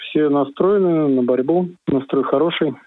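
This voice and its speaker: A man's voice: fairly high pitch, normal speed, no room sound. A man, a senior sports coach, giving matter-of-fact interview answers over a phone call. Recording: narrow telephone line, dry.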